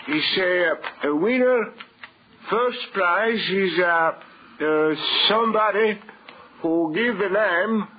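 A man's voice: high-pitched, slow, slightly rough, exaggerated voice. An actor playing a chatty, eager immigrant lunch-counter man speaking garrulous broken English in a 1930s radio drama. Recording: muffled, faintly hissy 1930s radio broadcast.

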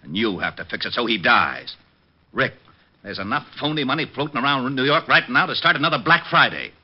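An actor playing an exasperated, sarcastic New York police lieutenant in 1940s radio drama. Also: male voice, medium pitch, lively intonation, a little fast, close to an everyday voice. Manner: gruff sarcasm, easily irritated. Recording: dull vintage radio recording.

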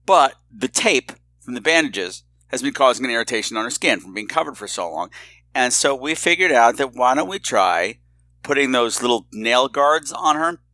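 Guy doing a chatty personal podcast, bright punchy voice, kinda theatrical, candid, real dry sound. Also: mid-range voice, dry recording. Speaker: podcast host. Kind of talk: casual personal podcast monologue.

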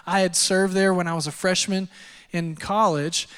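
A man in his thirties from Texas, preaching a sermon and telling his own story in a relaxed, self-deprecating way with lots of fillers. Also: sits high, normal speed, smooth. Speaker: pastor. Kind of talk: sermon with personal testimony. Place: church auditorium. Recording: long hall reverb.

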